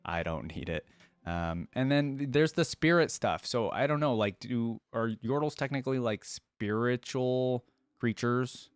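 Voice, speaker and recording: A man's voice: mid-range voice, unhurried, smooth, no echo, clean studio sound. A man giving casual, musing commentary as a reaction video host. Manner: informal, musing, conversational.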